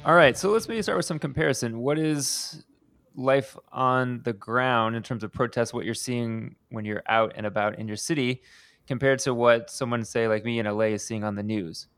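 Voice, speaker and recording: A man's voice: mid-range voice, moderate pace, a bit bright, no echo. Upbeat male podcast host, dry sound. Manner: upbeat, asks long questions. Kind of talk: podcast interview.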